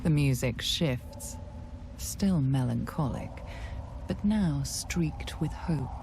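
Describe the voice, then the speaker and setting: A solemn storyteller narrates a fantasy game in a strikingly low, mellow voice with a gravelly creak. The delivery is poetic and measured, with grave weight on the phrases. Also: female voice, lively intonation, slight background noise, heavily acted. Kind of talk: scripted second-person fantasy game narration.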